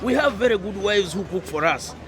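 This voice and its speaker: An actor in a radio drama playing a teasing, cocky village domino player with a playful, boastful manner. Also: male voice, high voice, animated, a little fast, crowd noise.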